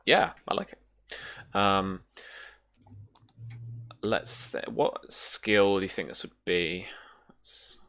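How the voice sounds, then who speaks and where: A low-pitched man with a bright, forward voice runs a tabletop roleplaying game. He explains rules and scenes, often pausing to work out his wording. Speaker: game master.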